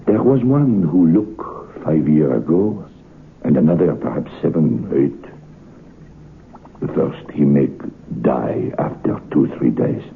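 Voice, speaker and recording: A grave French waiter with an extremely low, creaky voice, telling a dark tale in an old radio drama. He speaks deliberate, formal broken English with a French accent, on a muffled, slightly hissy vintage recording. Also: expressive, full character voice. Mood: grave warning. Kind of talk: old-time radio horror drama acting.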